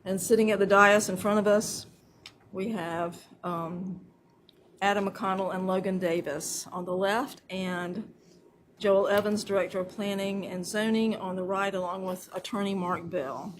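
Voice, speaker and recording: A woman's voice: medium pitch, fairly even intonation, measured pace. Woman chairing a county meeting. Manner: casual and self-deprecating off-mic, then formal reading of the opening. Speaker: commission chair. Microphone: desk microphone that cuts out. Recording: noisy meeting room audio.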